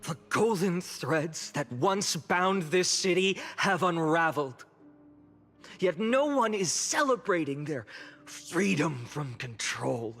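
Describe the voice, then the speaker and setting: An actor playing an earnest young heroic leader in a fantasy game, giving a solemn, measured speech to his city. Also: a little high, lively intonation, a bit bright, full character voice. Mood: grave, solemn. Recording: long hall reverb with music behind. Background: music.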